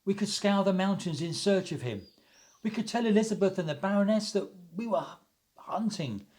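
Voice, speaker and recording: Man acting an earnest, higher-voiced loyal friend. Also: expressive, normal speed, darkish tone. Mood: urging, conspiratorial. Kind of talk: theatrical radio play acting.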